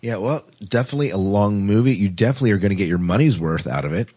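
A man's voice: on the low side, animated, racing, a little mellow, slightly rough. A man co-hosting a casual podcast, chatting through science fiction news. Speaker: podcast co-host.

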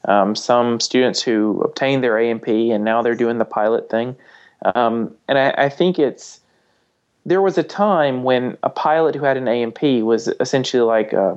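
Halting male panelist, slightly dull sound. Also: medium pitch, darkish tone. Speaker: flight instructor and podcast panelist. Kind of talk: casual panel discussion with anecdotes.

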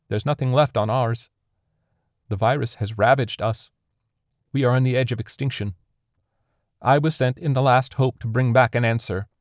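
A man's voice: medium pitch, no room sound, studio quality, voice pushed up, natural voice. A man voicing a wounded, grief-stricken alien envoy who pleads in formal, solemn speech for an audiobook-style story.

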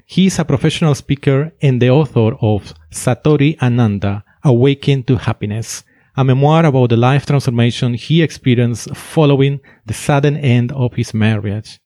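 A man with a Finnish accent hosting an interview, hesitant and quick to laugh. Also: mid-range voice, mellow, no room sound. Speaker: podcast host.

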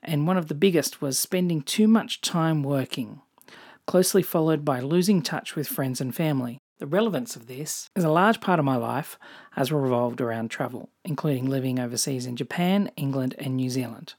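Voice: mid-range voice, moderate pace, a little mellow. Reflective Australian podcast host. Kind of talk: scripted personal podcast monologue.

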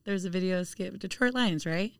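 A woman's voice: on the low side, brisk, creaky, clean tone, no room sound, studio quality. A woman chatting casually as a guest on a podcast. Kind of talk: casual chat.

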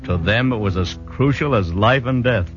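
An actor playing an American army doctor who narrates a 1940s radio drama in a measured, expressive storytelling way. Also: male voice, on the low side, close to an everyday voice. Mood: reflective. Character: genial American army doctor recounting his friend's story. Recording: dull vintage radio recording with music.

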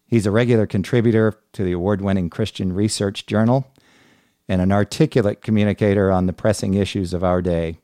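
Man, middle-aged, podcast interviewer, dark relaxed tone, dry close sound. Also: on the low side, fairly quick, dry recording. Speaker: podcast host.

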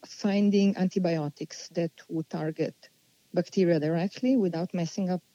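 A woman, answering interview questions unhurriedly and pausing as she thinks. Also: fairly low pitch, steady tone, dark tone, dry recording, highs cut off. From Slovenia. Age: 40s. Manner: unhurried, thoughtful, with many pauses and restarts. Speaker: guest.

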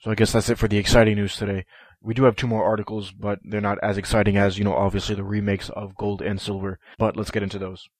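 A man's voice: on the low side, flat delivery, fast talker, dry recording. Nasal man hosting a fan podcast. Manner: eager, with frequent ums and restarts. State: stuffy nose from a head cold. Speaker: podcast host. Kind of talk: solo podcast talk.

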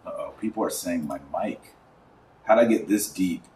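A man's voice: low voice, normal speed, darkish tone. A man hosting a religious podcast, giving earnest, emphatic preachy commentary with repeated phrases for rhetorical effect. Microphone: wrong microphone picking up from a distance. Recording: echoey room, distant wrong microphone, faint noise.

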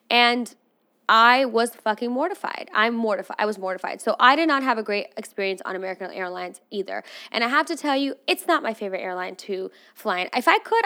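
A young adult woman from Armenia, raised in Russia, hosting a podcast with frank, animated, casually profane commentary. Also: mid-range voice. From Armenian, grew up in Russia. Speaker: podcast host.

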